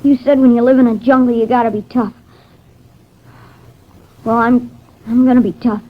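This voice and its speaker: A woman's voice: on the high side, steady tone, moderate pace, close to an everyday voice. A woman playing a young runaway boy in a 1950s radio drama, stubborn and wounded, talking streetwise slang with childlike earnestness. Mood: defiant, hurt. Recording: dull vintage 1950s radio recording.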